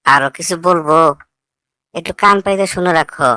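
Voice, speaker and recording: A woman's voice: fairly low pitch, moderate pace, bright, forceful tone, no room sound. A woman voicing a defiant, idealistic young graduate in a cartoon, arguing in a sarcastic, lecturing way. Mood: accusing. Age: young adult. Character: defiant, idealistic grown child of a politician, foreign-educated. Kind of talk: scripted cartoon dialogue.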